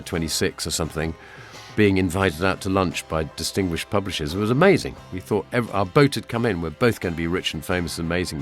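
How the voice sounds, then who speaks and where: A British man presenting a documentary, with narration and personal reminiscence. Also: deep voice.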